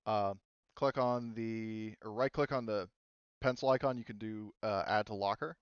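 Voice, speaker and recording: A man acting as game master in a casual online tabletop session, explaining rules haltingly as he goes. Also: fairly low pitch, steady tone, clear, resonant tone, no echo, dull audio.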